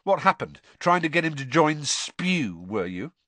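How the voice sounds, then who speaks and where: A man's voice: on the high side, big pitch swings, measured pace, pushed and bright, no echo, voice pushed up, full character voice. Man plays sulky teenage boy for audiobook: jealous, scathing, raised swooping voice. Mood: nasty. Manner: scathing, sneering.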